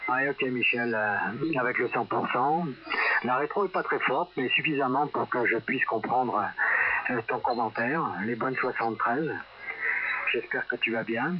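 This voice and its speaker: Through heavy noise and a dull radio link comes a man's medium-pitched voice. He is a ham operator giving a casual, friendly, matter-of-fact report. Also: unhurried. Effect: heard over radio. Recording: very noisy, muffled shortwave radio reception.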